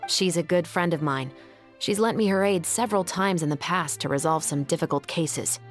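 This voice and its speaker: A woman playing an earnest, dutiful young patrol captain in a video game, with a formal, measured delivery and music behind her. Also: on the low side, close to an everyday voice. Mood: composed, explanatory.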